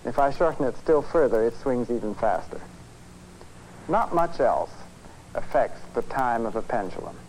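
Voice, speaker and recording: A man, a physics professor, presenting an educational film lecture in a measured, conversational, explanatory way. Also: medium pitch, expressive, a little mellow. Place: film studio. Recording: noisy 1950s educational film soundtrack.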